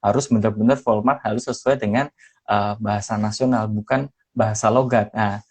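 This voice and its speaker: A man's voice: lowish voice, steady tone, normal speed, darkish tone, husky. A young adult man from Semarang, a former radio announcer, chatting as a podcast guest with a lightly mixed Indonesian accent and many fillers. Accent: neutral Indonesian with slight mixed regional traces. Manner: hesitant, many fillers and restarts. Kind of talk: casual interview chat.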